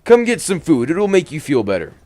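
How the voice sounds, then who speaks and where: A man's voice: fairly high pitch, huge pitch swings, a little fast, dark tone, pitched above the performer's own voice. A man voicing a cheerful, doting old grandfather in a horror story. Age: older.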